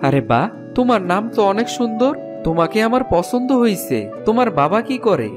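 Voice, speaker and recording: A woman's voice: mid-range voice, sing-song, brisk, music in the background, lightly acted. A woman voicing a forward young village headman's son in a cartoon, direct and admiring. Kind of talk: cartoon fairy-tale acting.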